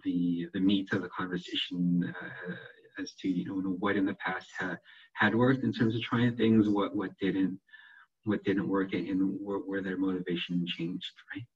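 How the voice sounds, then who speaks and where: A soft-spoken man giving an online lecture, hesitant and often repeating his words, who checks in with "right?". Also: low voice, level tone, moderate pace. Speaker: webinar presenter. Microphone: computer microphone on a video call. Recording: muffled video call audio.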